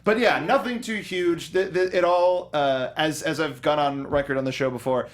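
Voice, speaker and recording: A young man co-hosting a casual movie review chat, animated and breaking into goofy voices when he acts out reactions. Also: on the high side, moderate pace, a crowd in the background. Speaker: podcast co-host.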